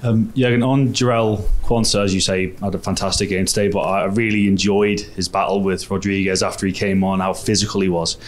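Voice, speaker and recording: A man asks a long, winding question from the press seats. His voice is low and flat, with little rise or fall, and a faint room hiss sits under him. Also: fairly quick, few pauses. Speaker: reporter.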